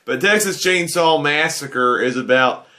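A man's voice: a little high, expressive, unhurried, bright, forceful tone. A man in his thirties giving a casual, earnest spoken film review from a desk webcam. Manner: conversational, earnest, uses filler phrases like "you know". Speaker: guest movie reviewer. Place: indoors in front of a green-screen backdrop.

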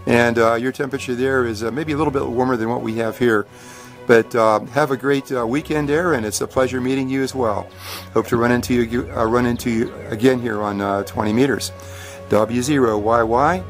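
Man, American accent, medium pitch, friendly ham radio chat, courteous, faint music. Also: fairly even intonation, fairly quick. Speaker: amateur radio operator. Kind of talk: casual ham radio contact.

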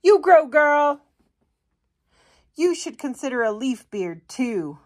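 A woman's voice: sits high, sing-song, slow, darkish tone, voice pushed up, exaggerated voice. A woman voicing friendly, encouraging woodland plants in a children's storybook.

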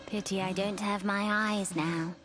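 Woman playing a gentle serious elf lady from a game, wistful, measured, mid pitch, music behind her. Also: steady tone, close to an everyday voice, low-quality recording. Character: solemn, gentle female elf, wistful and watchful. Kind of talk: video game voice acting.

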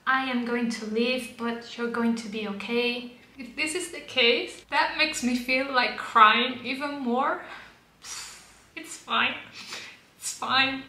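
A woman's voice: a little high, pushed and bright, roomy sound. A young woman hosting a music reaction video, talking emotionally to herself and teasing herself for getting teary. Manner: emotional, self-mocking, talks to herself. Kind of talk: casual reaction commentary. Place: small dim room with bookshelf and plant, indoors.

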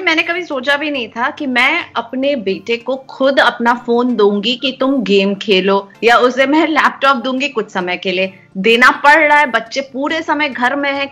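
A middle-aged woman interviewing a guest in a measured, conversational way on a video call. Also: high voice, bright, forceful tone. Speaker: interviewer, host. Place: indoor room, on a video call. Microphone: video call device.

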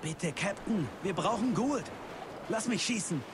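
A hard-nosed soldier in a video game. His high voice is blunt, insistent and impatient, set in very noisy combat ambience. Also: expressive, measured pace. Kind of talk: video game voice acting, German dub.

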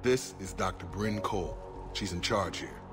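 An actor playing a stoic, easygoing soldier in a video game, talking in a casual, understated way. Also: male voice, deep voice, normal speed, lightly acted. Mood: wry, relaxed. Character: wounded male soldier protector, adult, stoic, wryly easygoing. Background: game music.

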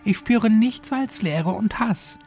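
A man's voice: high voice, animated, slow, a little mellow, faint music behind, voice pushed up, heavily acted. A man voicing a bitter, hate-filled girl in a horror audio story, angry and accusing. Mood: furious.

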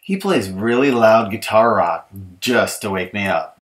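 A man's voice: lowish voice, animated, a little slow, loud music behind the voice. A man reading a children's picture book as an exasperated young girl complaining about her bratty little brother, emphatic and mock-aggrieved. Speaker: author reading his audiobook.

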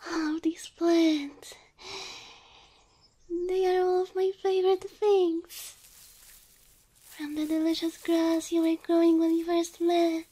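A gentle cow girl girlfriend played by a woman speaks in a high, mellow voice for an ASMR roleplay. She is breathy, often dropping into a whisper. Her delivery is unhurried, affectionate and easily filled with wonder. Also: flat delivery. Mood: amazed, delighted. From Slavic country. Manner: tender, slow, full of wonder.

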